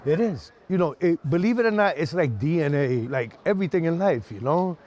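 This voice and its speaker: A man's voice: a little high, animated, fairly quick, darkish tone. An American man chatting casually outdoors, explaining things colloquially with plenty of "you know". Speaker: maintenance worker, interviewee. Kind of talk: casual chat. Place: outdoors on a street. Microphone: clip-on lapel. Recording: faint outdoor street noise.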